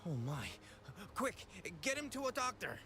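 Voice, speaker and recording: A man's voice: very high-pitched, very animated, moderate pace, vocal fry, bright, forceful tone, faint music behind, full character voice. An actor playing a concerned townsman in a game.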